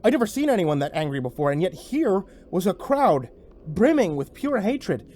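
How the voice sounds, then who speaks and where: A man's voice: high-pitched, sing-song, normal speed, a little mellow. A man giving an intense, theatrical first-person narration of a scripted horror story.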